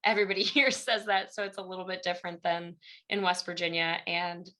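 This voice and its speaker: A woman's voice: lowish voice, fairly even intonation, brisk, sharp tone. A young woman from Illinois with a Midwestern accent, a university instructor chatting as a guest in an interview and acting out the conversations she recounts. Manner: chatty storyteller who acts out quoted exchanges. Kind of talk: casual interview chat.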